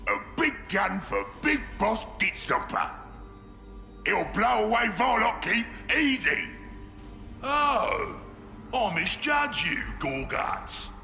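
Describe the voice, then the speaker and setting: An actor playing a scheming, brutish ork warlord who bellows in a gravelly voice, in a game cutscene. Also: male voice, sits high, big pitch swings, takes it slowly, pauses often, exaggerated voice. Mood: bellowing. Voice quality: gravelly, shouting. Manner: bellowing, sly and scornful. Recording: roomy game cutscene audio with music.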